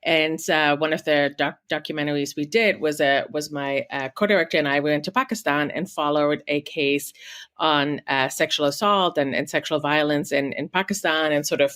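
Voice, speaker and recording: Give me a bright, chatty woman with a German accent, answering interview questions with frequent fillers. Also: deep voice, fairly even intonation, normal speed. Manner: chatty, many fillers and restarts, laughs often. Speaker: guest journalist and author. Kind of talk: conversational interview. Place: home office.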